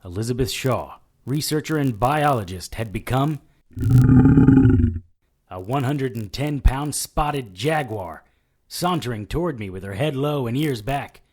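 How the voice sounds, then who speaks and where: An actor playing a wisecracking paranormal detective in an audio drama, with a sardonic, hard-boiled, dramatic delivery. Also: male voice, mid-range voice, lively intonation, measured pace. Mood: tense, wry. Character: wisecracking male paranormal detective narrating his own ordeal. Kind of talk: audio drama narration and dialogue.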